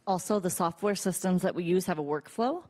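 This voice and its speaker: A woman's voice: lowish voice, a little fast, a little mellow. A woman on permit staff explaining a procedure in a matter-of-fact way.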